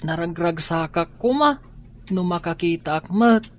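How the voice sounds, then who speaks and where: A woman with a Filipino accent voicing a gentle, wistful blind boy in an audio drama. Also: lowish voice, animated, unhurried. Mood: grateful, tender. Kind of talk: Ilocano audio drama acting.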